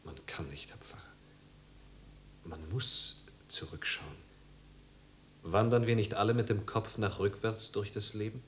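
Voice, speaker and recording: An actor playing a tormented, melancholy young wanderer in a 1950s radio drama, brooding and anguished. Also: male voice, on the low side, a little slow, pauses often, lightly acted. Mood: brooding, bitter. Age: young adult. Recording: old radio recording with hiss and hum.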